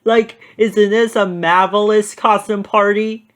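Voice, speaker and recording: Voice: mid-range voice, fairly even intonation, slow pace, a bit bright, voice pushed up. A reader voicing a cowardly, goofy young male hippie slacker with cartoonish exclamations in a storybook read-aloud. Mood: playful. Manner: cartoonish exclamations, sprinkles speech with like.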